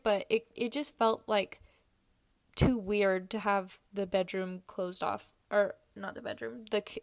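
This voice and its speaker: A young woman talks in a hoarse, slightly sick voice, rambling casually through a voiceover with plenty of fillers. Her pitch sits in the middle of the usual range. The recording is dry and close, with no room sound. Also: no room sound. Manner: rambling, chatty, many fillers. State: hoarse, under the weather. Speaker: video creator.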